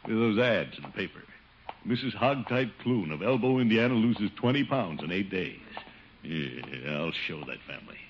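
An actor playing a pompous, easily wounded middle-aged uncle, blustering and muttering theatrically in 1950s radio comedy style. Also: male voice, lowish voice, expressive, moderate pace, soft background music, lightly acted. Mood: defiant. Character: pompous, wounded, self-pitying chubby middle-aged uncle, town official. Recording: muffled, noisy old radio broadcast.

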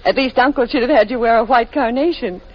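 The sound is that of an old, muffled 1940s radio drama. An actress plays a young woman with a slightly high voice, polite and trusting, speaking in the period's theatrical manner. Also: fast talker, lightly acted. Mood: bright, chatty. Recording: dull vintage 1940s radio recording.

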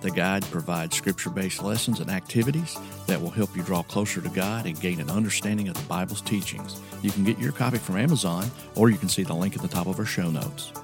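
A man's voice: deep voice, fast, hardly any pauses. A man hosting a podcast, reading scripted narration in an earnest, sentimental manner. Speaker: podcast host. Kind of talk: scripted sponsor read and sign-off narration. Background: clear music.

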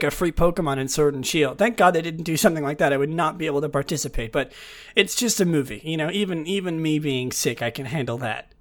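A young man with a sick, raspy, groggy voice, hosting a casual news podcast and rambling with many fillers and restarts. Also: fairly high pitch, a bit bright. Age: young adult. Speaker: podcast host.

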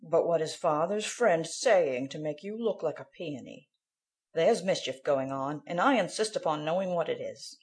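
A woman's voice: low voice, lively intonation, normal speed, a little mellow. A woman voicing an imperious, stern old aunt in a storybook reading, insistent and lecturing. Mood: suspicious.

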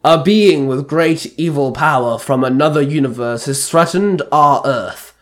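A man voicing a grave, commanding ancient spirit god in a story reading, with slow, portentous delivery. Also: a little high, close to an everyday voice. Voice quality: bellowing. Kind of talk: amateur fan-fiction story reading.

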